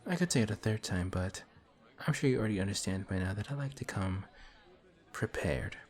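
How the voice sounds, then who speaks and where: A man voicing a suave male villain who teases a hero in an audio roleplay, slow and taunting. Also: on the low side, darkish tone, close to an everyday voice. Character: smug suave male villain, teasing and calm. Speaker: voice actor.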